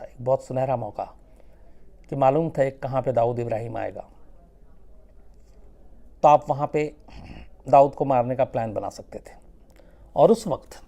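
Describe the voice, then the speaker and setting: A man telling a true-crime story without a script, conversational and suspenseful, with dramatic pauses. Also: medium pitch, vocal fry, darkish tone.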